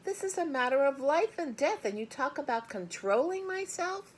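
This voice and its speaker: A woman's voice: sits high, lively intonation, normal speed, slight background noise, voice pushed up. A woman voicing an upset eight-year-old farm girl in a storybook, pleading and protesting indignantly. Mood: outraged.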